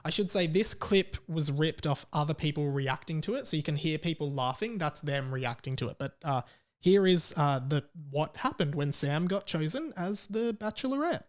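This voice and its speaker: Man, Australian accent, fairly high voice, casual podcast host, rambling, irreverent. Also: animated, normal speed. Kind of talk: casual comedic rant.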